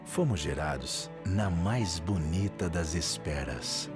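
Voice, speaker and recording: Man, very deep and slow voice-over for a commercial, loud music underneath. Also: lively intonation. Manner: solemn, measured. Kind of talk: slow scripted ad narration.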